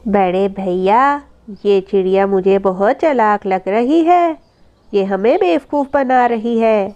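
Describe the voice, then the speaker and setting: A woman's voice: mid-range voice, animated, takes it slowly, warm tone. A woman voicing a sly, suspicious younger bird of prey in a children's cartoon, mocking and menacing, on dull audio. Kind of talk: Hindi children's cartoon villain acting.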